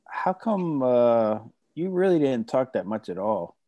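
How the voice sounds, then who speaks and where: A man's voice: medium pitch, very animated, unhurried, no echo, muffled recording. A man asking friendly, chatty questions in a casual group conversation. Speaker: podcast guest.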